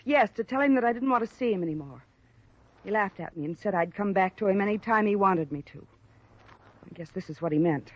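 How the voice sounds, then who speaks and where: A woman's voice: medium pitch, expressive, fairly quick, lightly acted. A woman acting a proud, cornered young former chorus girl in a 1950s radio drama, earnest and plaintive with an American accent. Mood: indignant. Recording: dull restored old radio recording.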